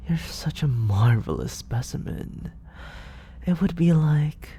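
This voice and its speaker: A soft-spoken scheming villain, voiced by a man, coaxes in a slow, gravelly near-whisper. His tone is dark and mellow, and his pitch swings widely between hushed murmurs. It is a close-mic ASMR roleplay. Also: a little hiss or hum. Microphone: close studio condenser.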